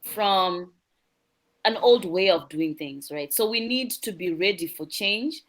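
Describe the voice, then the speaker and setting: A woman's voice: lowish voice, lively intonation, a little slow. A woman with a Zimbabwean accent, a guest speaking earnestly in an interview and often repeating words and asking "right?". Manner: earnest, repeats words when searching, frequent tag "right?". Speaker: guest, youth non-profit founder. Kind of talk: conversational interview.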